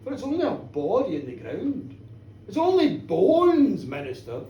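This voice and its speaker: A storyteller voicing a tall, sinister gentleman who taunts theatrically with drawn-out words and loud laughter. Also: mid-range voice, wildly swooping pitch, roomy sound, far from the mic, muffled recording. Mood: taunting. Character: tall sinister mocking gentleman, gleefully menacing.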